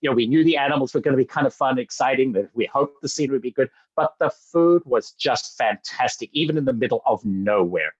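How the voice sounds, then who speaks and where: An eager man from Zambia presents with bubbly enthusiasm and a steady mid-range pitch. His speech is full of little fillers and restarts. The sound is dry and a bit dull, like a lo-fi web call. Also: no room sound. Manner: energetic, fast-talking, frequent fillers and restarts. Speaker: sales director presenting.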